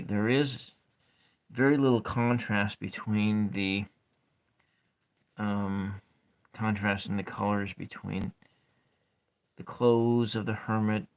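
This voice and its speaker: A man with a calm voice chatting softly with viewers while he draws and colors tarot cards, trailing off and restarting as he works. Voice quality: calm speaking voice. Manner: quiet, low-key chatting with viewers. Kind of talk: soft-spoken ASMR art livestream chat.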